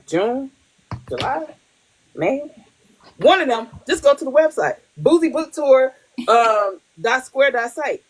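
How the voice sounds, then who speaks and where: A woman's voice: on the high side, rough recording. A woman hosting a podcast in casual chat, blunt and profane, laughing often. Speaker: podcast host.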